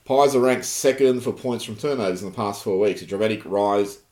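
A man's voice: lowish voice, steady tone, fairly quick, a little mellow, reverberant. A man with an Australian accent co-hosting a casual sports podcast, blunt and rambling, with some swearing. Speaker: podcast co-host.

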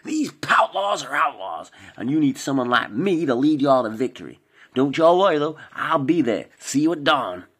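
A man voicing a deluded Englishman who talks in a put-on cowboy drawl, loud and bossy. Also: medium pitch, sing-song, normal speed, husky, pitched above the performer's own voice. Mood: blustering.

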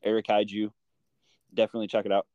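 A man's voice: lowish voice, completely flat delivery, fast talker, no room sound, narrow, tinny audio. A man hosting a podcast in an informal, meandering, casual chat style. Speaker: podcast host.

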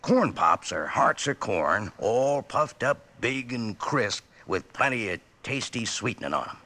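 A man with an American accent doing a folksy, jokey 1950s radio cereal commercial with drawn-out emphasis. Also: mid-range voice, animated, vocal fry, sharp tone. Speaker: commercial pitchman. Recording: vintage radio recording.

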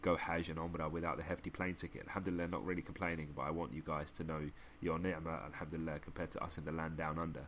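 A man's voice: a bass voice, quick pace, few pauses, a little hiss or hum. A man co-hosting a casual podcast, reading listener messages aloud and joking and laughing as he goes. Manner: joking and laughing often, relaxed slangy talk. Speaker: podcast co-host.

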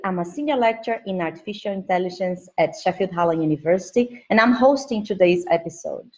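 A woman hosting a podcast interview from home over a call, talking in halting, restarting phrases. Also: medium pitch, lo-fi sound. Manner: hesitant, restarts sentences. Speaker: podcast host, lecturer. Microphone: home setup over digital platform.

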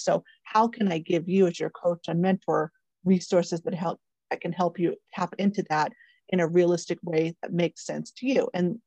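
A woman's voice: fairly low pitch, a little mellow, no room sound. A woman hosting a podcast, chatting informally and emphatically as she speaks straight to her listeners. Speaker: podcast host and caregiver coach. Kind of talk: podcast intro and interview chat.

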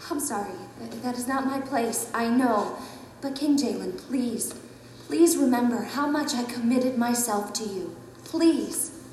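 A woman's voice: sits high, fairly bright tone, slight background noise, full character voice. An actress playing a desperate, bitter fallen woman teacher in an audio drama, melodramatic, indignant and pleading. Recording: very echoey hall, distant, music behind.

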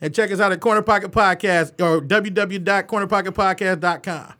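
A man's voice: high voice, fairly even intonation, brisk, sharp tone. A man co-hosting a casual podcast, talking in laid-back slang and laughing often. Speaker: podcast co-host.

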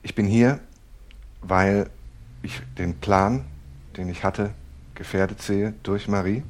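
An actor playing a tense, nitpicking husband who justifies himself in a naturalistic audio drama. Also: male voice, lowish voice, takes it slowly. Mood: earnest, hesitant. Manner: defensive, hesitant when explaining.

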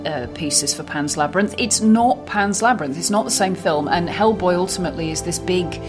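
A woman with a slightly low voice co-hosts a casual film discussion. She is analytical and considered in how she phrases things, and music plays clearly behind her. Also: normal speed. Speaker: podcast co-host discussing films. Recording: music clearly audible under voice.

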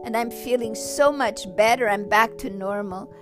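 Woman doing a soft, grateful Easter Bunny. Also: a little high, moderate pace, music in the background, close to an everyday voice. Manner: soft, thankful, earnest.

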